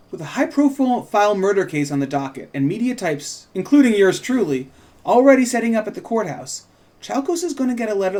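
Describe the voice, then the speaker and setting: A man's voice: high-pitched, a little mellow. A man playing an earnest podcast host, narrating a small-town murder story in a theatrical, building style. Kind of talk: dramatic scripted podcast narration.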